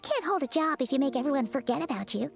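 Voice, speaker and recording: A tiny childlike fairy companion for an animated game. The voice is very high and squeaky, with lively swoops and chirpy, expressive chatter. Soft music plays underneath. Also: female voice, quick pace, vocal fry. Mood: curious, puzzled. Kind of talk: animated game character acting.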